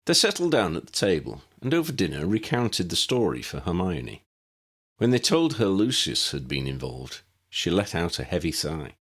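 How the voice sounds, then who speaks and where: A male narrator reading fantasy fiction in a slightly low voice. His pitch moves around in a very lively way as he tells the story. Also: moderate pace, husky. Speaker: audiobook narrator.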